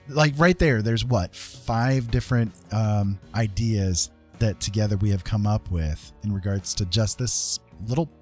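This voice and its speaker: A man's voice: on the low side, darkish tone. A man casually streaming a digital painting tutorial, rambling and thinking aloud as he works.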